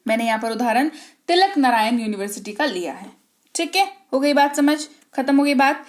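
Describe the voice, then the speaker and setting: A young woman with an Indian accent teaching an English lesson, speaking slowly and emphatically and often checking that her students follow. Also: sits high, expressive.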